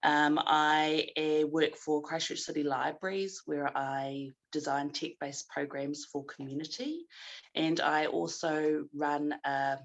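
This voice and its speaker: A woman with a New Zealand accent speaking on a video-call panel, relaxed and thoughtful with some hesitation. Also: low-pitched, slow, highs cut off. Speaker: multimedia artist and panelist. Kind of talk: casual self-introduction and discussion.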